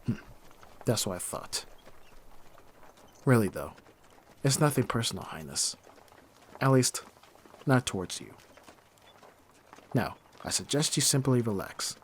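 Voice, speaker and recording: A man playing a bitter, hardened young thief in an ASMR roleplay, speaking with mockingly courteous, formal phrasing and pointed pauses. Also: medium pitch, sing-song, slight background noise. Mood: cold, dismissive. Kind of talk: scripted ASMR roleplay acting.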